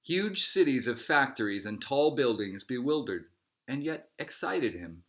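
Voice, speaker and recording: A man reading a picture book aloud as a narrator, in measured phrases that settle at the ends. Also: mid-range voice, sing-song, fairly bright tone. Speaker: storybook reader.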